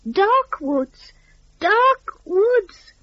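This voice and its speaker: Scared stubborn little girl, done by a woman narrator, very high and pleading, storybook tale, slightly dull sound. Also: big pitch swings, very slow, no room sound, exaggerated voice. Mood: frightened, tearful. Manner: pleading, fearful.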